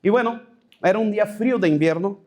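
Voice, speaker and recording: A man with a Brazilian Portuguese accent, a pastor giving a personal testimony at a leadership workshop, telling his story haltingly with restarts and stray Portuguese words. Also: high-pitched, a little mellow.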